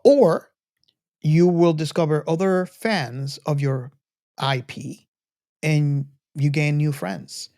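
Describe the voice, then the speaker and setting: A man addresses his podcast audience casually and earnestly. He speaks off the cuff in halting phrases, often doubling back on words. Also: on the high side, mellow. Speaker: podcast host. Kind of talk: casual, unscripted talk to the audience.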